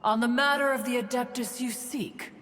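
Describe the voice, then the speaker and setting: A woman's voice: a little high, steady tone, moderate pace, a bit bright, in a large hall, lightly acted. A woman voicing a proud immortal bird spirit in a video game, with an imperious, formal and archaic manner. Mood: indignant, haughty. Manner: imperious, formal, archaic, calls herself "one". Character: proud, haughty immortal bird spirit, female master of disciples.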